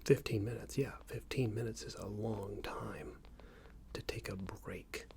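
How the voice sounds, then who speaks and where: A man playing a smug, unreasonable boss in an ASMR roleplay, scolding an employee in a soft breathy whisper with a hesitant, condescending manner. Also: fairly even intonation, a little slow, a little hiss or hum. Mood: condescending, disapproving. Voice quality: breathy soft whisper with low creaky murmur. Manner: hesitant, condescending, meandering reprimand.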